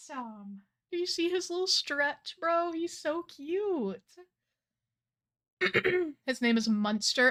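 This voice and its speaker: A woman's voice: on the high side, a bit bright. Bubbly young woman streamer. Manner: chatty, bubbly, says "like" a lot. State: slightly losing her voice. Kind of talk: casual game stream chat.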